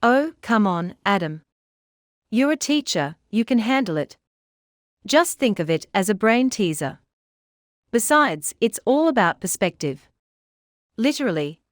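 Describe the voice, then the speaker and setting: A woman voicing a witty, playful elephant in scripted children's comedy, chatty and teasing with clear wording. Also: mid-range voice, sing-song, measured pace, pauses often, no room sound, clean studio sound. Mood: playful, coaxing. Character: witty, playful talking elephant who gives reading tips.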